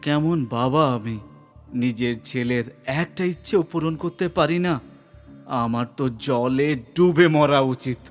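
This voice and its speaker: A man's voice: mid-range voice, slow, soft background music, natural voice. A man voicing a humble, caring village father and poor craftsman in a cartoon. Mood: dejected. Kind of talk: cartoon voice acting.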